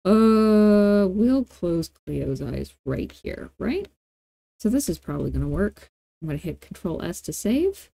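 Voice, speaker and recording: A woman narrating a software tutorial casually and playfully, thinking aloud as she goes. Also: mid-range voice, very animated, unhurried, creaky. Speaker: tutorial host.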